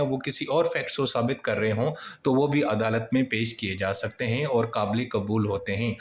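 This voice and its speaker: A male lecturer with a medium-pitched voice teaches in an explanatory, informal way. He states each point, then puts it again in everyday words. The recording is noticeably roomy and echoing. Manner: reads a point, then restates it colloquially with examples. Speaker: law lecturer. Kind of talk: informal explanatory law lesson.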